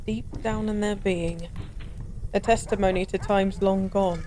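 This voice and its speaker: Woman playing a mellow, weary, lonely fallen goddess. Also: medium pitch, fairly even intonation, unhurried, slight background noise, lightly acted. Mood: melancholy, musing. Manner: wistful, philosophical, formal old-fashioned wording. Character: weary, lonely former goddess, a mysterious drinking stranger. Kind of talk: scripted intimate audio roleplay monologue. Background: bar ambience.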